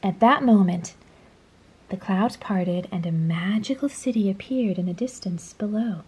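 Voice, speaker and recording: A woman reading a children's story aloud in a dramatic, deliberate storytelling manner. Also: mid-range voice, darkish tone, slight background noise, poor audio. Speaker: storybook reader. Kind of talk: scripted children's story read-aloud, expressive.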